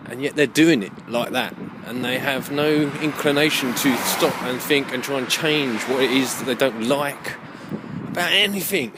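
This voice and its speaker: Man rambling over clear background noise. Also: mid-range voice, moderate pace. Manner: opinionated, reflective, repeats himself, swears freely. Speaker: video blogger with his own channel. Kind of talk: rambling unscripted monologue to camera. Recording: clear background noise, rough amateur recording.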